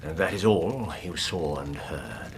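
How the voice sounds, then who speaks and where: An actor playing a keen English gentleman detective in a radio drama, questioning in a courteous, clipped, incisive way. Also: very deep voice, expressive, unhurried, creaky, a little hiss or hum, exaggerated voice. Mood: probing.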